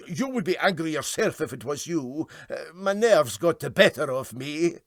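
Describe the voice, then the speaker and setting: A man's voice: on the high side, expressive, normal speed, dry recording, clean studio sound. A man voicing a penitent Scottish steamboat captain in a comic story, stammering, lamenting and pleading. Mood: defensive pleading. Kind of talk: comic storybook character reading.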